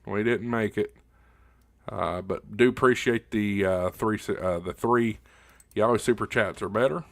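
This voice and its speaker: A low-voiced man hosts a casual livestream from home, speaking right up on a studio mic. His delivery is folksy and unscripted, with halting pauses as he addresses his viewers. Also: vocal fry. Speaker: livestream host of a ham radio channel. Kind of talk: casual livestream chat answering viewer questions. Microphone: close directional studio condenser microphone, spoken right up on it.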